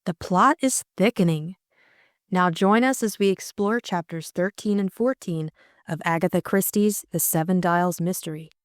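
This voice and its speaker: A woman's voice: fairly low pitch, moderate pace, no room sound, studio quality. A woman reading scripted story narration as an audiobook narrator.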